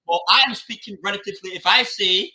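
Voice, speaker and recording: Bright-voiced radio host. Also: male voice, sits high, quick pace. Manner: probing, stumbles and restarts his questions. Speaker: radio talk show host. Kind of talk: interviewing.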